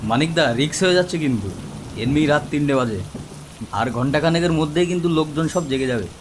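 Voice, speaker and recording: A man voicing a hurried, nagging, jumpy cremation helper in a horror cartoon. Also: on the high side, background noise, close to an everyday voice. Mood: frightened. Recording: rain and faint music behind.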